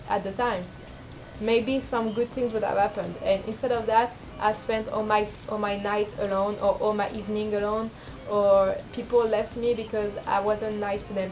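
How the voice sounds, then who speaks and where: A young woman with a low speaking voice, rambling and self-deprecating in a casual confessional vlog, full of fillers and restarts. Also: low-quality recording. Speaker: vlogger.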